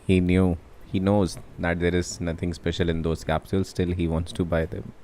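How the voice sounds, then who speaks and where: A man's voice: low voice, flat delivery, moderate pace, warm tone, slight background noise. A man from India, giving casual, wry, laid-back live commentary as a game streamer.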